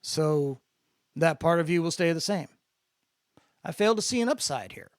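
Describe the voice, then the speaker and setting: A man's voice: on the high side, animated, normal speed, smooth, no echo, clean studio sound, lightly acted. A man voicing a protective, blunt and sardonic big brother in a dramatic audiobook. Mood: teasing. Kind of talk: dramatic audiobook character dialogue.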